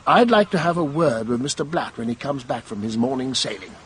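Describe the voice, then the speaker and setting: An actor playing a brisk, businesslike police colonel in a radio mystery, with a gravelly voice and an official, questioning manner. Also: male voice, medium pitch, animated, a little hiss or hum, lightly acted. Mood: brisk, inquiring. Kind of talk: radio mystery drama acting.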